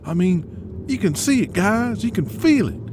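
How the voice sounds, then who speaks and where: A man voicing an excitable, emphatic male wrestling promoter in an audio drama, gushing and nervously hurried. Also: sits high, background noise, voice pushed up. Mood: excited. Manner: emphatic, exclamatory. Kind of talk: audio drama character acting.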